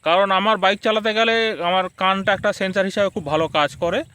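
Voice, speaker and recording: Male vlogger, high-pitched, conversational review delivery, slight background noise. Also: fairly even intonation, a little fast, sharp tone. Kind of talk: casual spoken product review, explaining to viewers.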